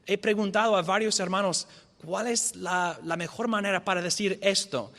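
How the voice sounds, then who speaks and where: A man's voice: high voice, steady tone, moderate pace, a bit bright, long reverb tail. A man who is not a native speaker of Spanish, giving a church lecture carefully, often restarting and correcting himself. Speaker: guest teacher. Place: church hall.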